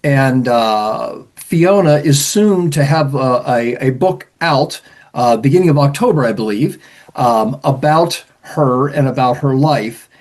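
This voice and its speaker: A man hosting an interview as the band's associate conductor, talking with many pauses and fillers. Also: mid-range voice, animated, darkish tone.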